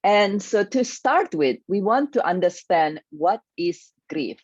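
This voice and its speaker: A woman's voice: medium pitch, expressive, slow, dry recording, dull audio. A woman with an Indonesian accent, a grief coach giving an earnest, motivational online workshop.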